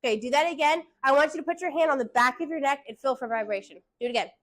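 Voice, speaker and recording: A woman voice teacher giving classroom singing instruction, hushing her students and checking in with "okay?" after nearly every point. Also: sits high, very fast.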